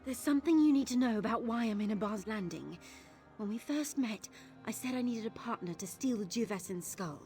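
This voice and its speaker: A woman's voice: on the high side, animated, brisk, a little mellow. An actress voicing an earnest young woman thief searching for her missing father in a video game, confiding in tone. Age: young adult. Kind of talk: video game character dialogue. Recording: game music behind the voice.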